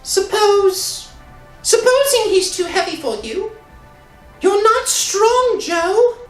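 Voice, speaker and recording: Casting: anxious, devoted woman for vintage radio suspense, extremely high voice, unhurried delivery, roomy sound with faint music. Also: lively intonation, full character voice. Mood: concerned. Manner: earnest, pleading. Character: worried, loyal partner of a desperate man, pleading and soothing. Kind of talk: 1960s radio thriller acting.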